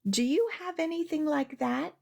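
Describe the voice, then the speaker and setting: A woman voicing an eager, curious male dog customer from a children's storybook, with an expressive questioning manner. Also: high voice, moderate pace, creaky, voice pushed up. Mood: eager asking.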